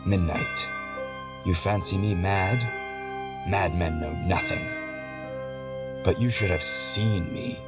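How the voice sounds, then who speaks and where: A nervous madman in a gothic audio drama, his voice very low and his delivery slow and intense. He dwells on words and repeats them with obsessive emphasis. Music plays prominently behind the slightly muffled recording. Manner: deliberate, intense, halting, emphatic repetition. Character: nervous, obsessive madman insisting on his sanity, confessing murder.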